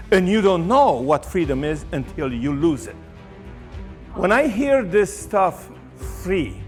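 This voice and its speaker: A man speaks in a fairly high voice. He presses his points hard and repeats phrases for force. Soft music plays faintly beneath him. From Romania. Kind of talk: personal testimony to camera.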